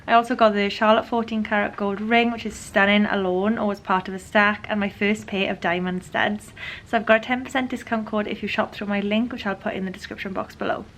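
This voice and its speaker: A woman in her twenties, a fashion vlogger chatting to camera in a casual, enthusiastic way, her voice a little hoarse from a cold. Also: mid-range voice, little pitch movement, brisk, a bit bright, recorded from a distance, a little hiss or hum. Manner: chatty, enthusiastic, restarts and repeats words. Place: bright room indoors.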